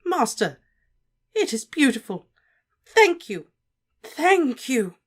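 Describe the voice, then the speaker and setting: A woman voicing a cowering, sniveling male servant who whimpers and pleads in a high voice. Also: big pitch swings, very slow, husky, exaggerated voice. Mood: pleading.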